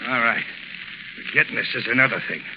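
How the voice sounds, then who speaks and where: A man's voice: medium pitch, fairly even intonation, fast talker, lightly acted. A man playing a jittery, tough-talking crook in a 1930s radio drama, with clipped gangster slang. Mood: defiant, threatening. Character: jittery, tough-talking male escaped crook, gun-ready henchman. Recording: noisy, muffled 1930s radio broadcast.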